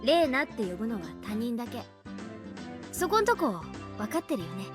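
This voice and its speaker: Tomboy anime schoolgirl, quick talker, voice up and down a lot, sassy teasing. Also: a little high, very animated, music in the background. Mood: firm. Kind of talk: Japanese visual novel voice acting.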